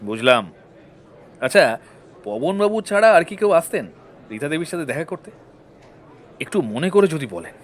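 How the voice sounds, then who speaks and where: An actor playing a courteous, methodical defence lawyer questioning a witness in a courtroom audio drama. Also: male voice, on the high side, sing-song, normal speed, long pauses. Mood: measured curiosity. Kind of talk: Bengali audio-story courtroom acting.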